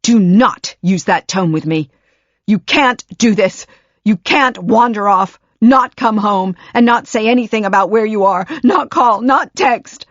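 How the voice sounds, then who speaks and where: A woman's voice: mid-range voice, normal speed, no room sound, lightly acted. Furious frantic mum, a female narrator voicing her, lo-fi dull sound. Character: frantic mother, furious with fear.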